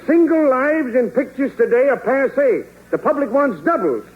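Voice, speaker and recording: A frantic, high-pitched movie producer. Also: male voice, moderate pace. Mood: brash. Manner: excitable, interrupting. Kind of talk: 1940s radio comedy acting. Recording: tinny old radio recording with heavy hiss.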